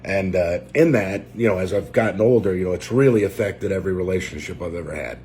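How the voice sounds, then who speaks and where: A man in his forties from Maine with a Maine accent, telling his life story unscripted, halting and close to tears. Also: sits low, a little mellow, slight background noise. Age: 40s. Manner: halting, many fillers and restarts. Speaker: trucker and preacher. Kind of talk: unscripted personal testimony.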